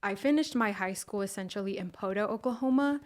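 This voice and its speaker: A woman's voice: mid-range voice, brisk, darkish tone. A young woman from California, a podcast guest, talking in a friendly, chatty way with many ums and uhs. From California, USA. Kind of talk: casual interview chat.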